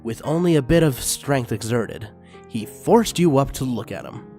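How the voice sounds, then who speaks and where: A man narrating a fan fiction story aloud in a steady, expressive reading voice. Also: mid-range voice, normal speed, faint music behind.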